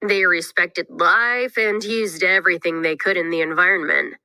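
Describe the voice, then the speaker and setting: A woman's voice: lowish voice, fairly even intonation, normal speed, pushed and bright, smooth, no room sound, voice pushed down. A woman voicing a skeptical, inquisitive young boy in a scripted read-aloud for children, questioning everything with emphatic doubt. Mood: curious.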